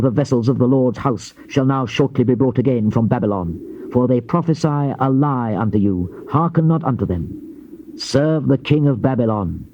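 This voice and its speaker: A man narrates scripture as a solemn dramatic reading. His voice is mid-pitched and dark, with measured, oratorical emphasis. The recording sounds like a dull old cassette. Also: a little hiss or hum.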